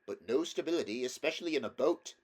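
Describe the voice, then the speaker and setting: Sociable storybook otter , a male reader putting him on: chatty, blustering, gossipy, higher-pitched and chummy. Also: animated, quick pace, a little mellow, lightly acted. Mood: gossipy, amused. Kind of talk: storybook character voice in a read-aloud.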